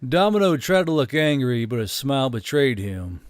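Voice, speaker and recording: A man's voice: medium pitch, very animated, moderate pace, clear, resonant tone, dry recording. A man narrating a scripted fantasy story as an audiobook. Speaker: narrator and channel host.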